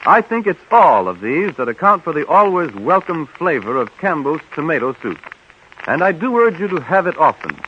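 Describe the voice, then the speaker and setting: A muffled, slightly noisy vintage radio recording of a male announcer. His polished, persuasive delivery is bright and lively in pitch.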